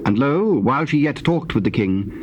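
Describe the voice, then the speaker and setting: A man gives solemn narration of scripture in archaic English. His voice is of medium pitch, and clear tape noise runs through the old cassette recording. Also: lively intonation, normal speed, lo-fi sound.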